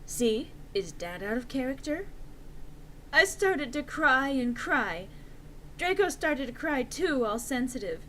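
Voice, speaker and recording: A woman's voice: sits high, big pitch swings, takes it slowly, sharp tone, a little hiss or hum, low-quality recording. A woman narrating a story aloud in a theatrical, emphatic, comic dramatic-reading style.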